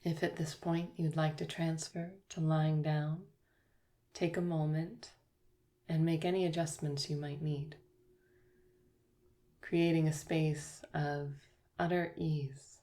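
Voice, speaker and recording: A woman yoga teacher guiding a yoga nidra relaxation, speaking slowly and softly with long pauses. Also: low-pitched, little pitch movement. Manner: slow, soft, soothing guidance with long pauses.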